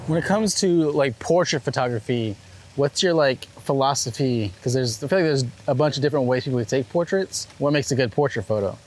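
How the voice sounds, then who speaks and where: A man hosting a casual interview, asking relaxed, conversational questions. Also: medium pitch, a little fast, poor audio. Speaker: show host, interviewer. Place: outdoors in a city. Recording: outdoor city traffic noise. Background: urban ambience, vehicles.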